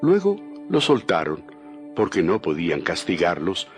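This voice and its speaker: A man narrating a dramatized Bible from a script in a solemn, deliberate storytelling manner. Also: low-pitched, huge pitch swings. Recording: music bed behind the voice.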